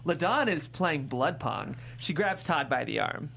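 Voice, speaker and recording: Lively woman reading screenplay directions. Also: low voice, measured pace. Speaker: stage-direction reader at a table read. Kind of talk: scripted narration of screenplay directions. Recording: clear background noise and hum.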